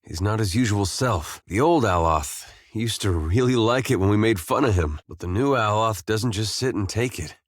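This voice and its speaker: An actor with an American accent playing a gentle, weary farmer turned soldier in a video game, speaking with a folksy, plainspoken drawl. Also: male voice, on the low side, lively intonation, moderate pace, few pauses, no echo, clean studio sound. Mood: wry, amused. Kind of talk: video game character dialogue.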